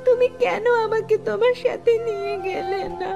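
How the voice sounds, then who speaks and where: A woman's voice: very high-pitched, steady tone, moderate pace, creaky, highs cut off, loud music behind the voice. A woman playing a sweet, devoted young village daughter in a cartoon, speaking earnestly and tenderly. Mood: grieving, pleading. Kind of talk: Bengali cartoon voice acting.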